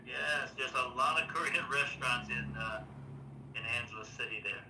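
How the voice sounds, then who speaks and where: A man's voice: mid-range voice, normal speed. An older man born in Taiwan hosting a casual live chat, rambling with lots of fillers and restarts. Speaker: livestream host. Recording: roomy, distant mic, faint noise.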